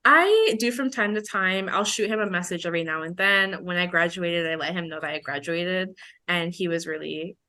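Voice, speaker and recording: A woman's voice: on the low side, normal speed, pushed and bright. A woman in her twenties telling a personal story on a podcast in a chatty, informal way. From Belize. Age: 20s. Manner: chatty, informal, acts out remembered dialogue. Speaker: podcast co-host.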